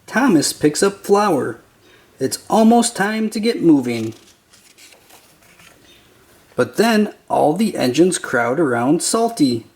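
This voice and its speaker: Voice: medium pitch, very animated, slow pace, long pauses, a little mellow. A narrator reading a children's picture book aloud in short, simple storytelling phrases.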